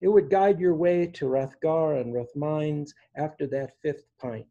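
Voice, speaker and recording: Older guy in his 60s reciting poems, really soft dark tone, bit higher pitched, pauses between phrases. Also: muffled recording. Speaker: poet reading own work. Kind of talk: poetry reading. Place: indoor room at home. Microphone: device mic on a video call.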